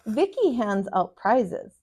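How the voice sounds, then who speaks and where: An American woman in her thirties, a teacher reading a picture book aloud to children in an expressive, sing-song way. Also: fairly high pitch, slow pace, slight background noise. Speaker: kindergarten teacher, storyteller. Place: small furnished room, indoors.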